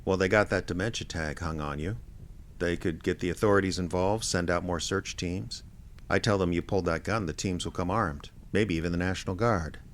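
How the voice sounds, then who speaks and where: Casting: male actor as deep-voiced American gumshoe for radio play, wry, plainspoken, persistent, clear noise in background. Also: brisk, lightly acted. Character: wry, persistent male small-time private investigator. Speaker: lead radio drama actor. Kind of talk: audio drama dialogue and first-person detective narration.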